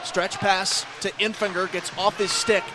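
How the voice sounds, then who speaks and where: A man giving live ice hockey radio commentary as play-by-play announcer, excitable and ready to raise his voice on chances. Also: high-pitched, measured pace, very noisy.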